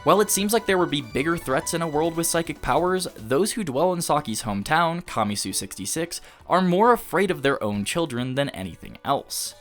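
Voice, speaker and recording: A man's voice: a little high, lively intonation, brisk, hardly any pauses. A man reading a scripted video essay as an anime reviewer, analytical and articulate in formal wording. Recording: music behind the voice. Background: background music.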